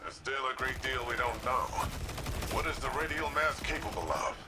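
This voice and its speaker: A cautious, serious city defender from a video game, voiced by a man. He speaks at a middling male pitch in a measured military manner, over clear noise. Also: lively intonation, natural voice. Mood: grave. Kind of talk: video game radio-briefing dialogue.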